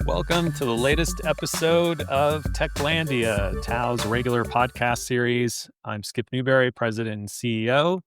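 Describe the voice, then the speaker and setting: An American man hosting a podcast interview in a conversational way, often halting mid-question. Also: medium pitch, over loud music. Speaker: podcast host, chief executive.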